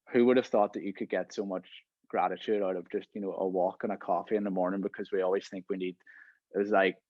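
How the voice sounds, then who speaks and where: A man's voice: sits low, no echo, muffled recording. A man in his thirties hosting a podcast in casual conversation, filling his talk with "right?" and "you know". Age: 30s. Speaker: podcast host.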